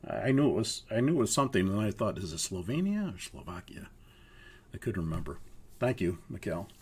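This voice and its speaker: An older man with a hoarse, raspy voice from a cold leads an online pilot course. His voice sits low and gravelly. He explains things haltingly and fills his pauses with "uh.". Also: big pitch swings, a little mellow. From Minnesota, US. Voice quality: gravelly, husky. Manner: halting, with frequent uhs. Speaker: flight training instructor. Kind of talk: live online course walkthrough.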